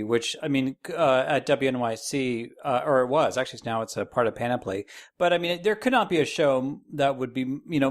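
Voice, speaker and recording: A man talking casually as a guest in a podcast interview. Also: medium pitch. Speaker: podcast guest, radio producer.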